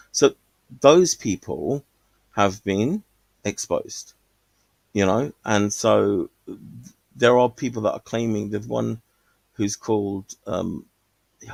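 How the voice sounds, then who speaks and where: A man's voice: low-pitched, gravelly, breathy edge, no echo. A man calling in to a stream, talking casually and rambling with many fillers and restarts. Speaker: caller. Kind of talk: casual call-in chat.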